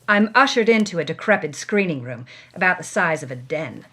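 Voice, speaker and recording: A woman's voice: fairly low pitch, expressive, fairly quick, pushed and bright. A woman voicing a world-weary, wry female movie star reading dramatically from her journal, in a noir storytelling style.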